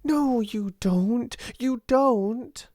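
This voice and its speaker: A woman voicing a timid, slow, kind-hearted young girl who speaks in a halting, awe-struck way. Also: on the high side, darkish tone, dry recording, clean studio sound, voice pushed up. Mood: earnest.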